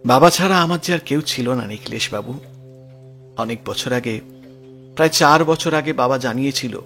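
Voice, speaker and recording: An actor playing a grieving, lonely grown son in an audio detective drama, speaking earnestly and with emotion. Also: male voice, medium pitch, creaky, fairly bright tone. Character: grieving, lonely grown son recounting his murdered parents' past.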